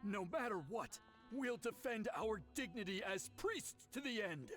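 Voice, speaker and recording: Jittery young priest, bold words with a stammer, animated, for a game. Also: male voice, sits high, unhurried, faint music behind. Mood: defiant. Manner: blustering then stammering.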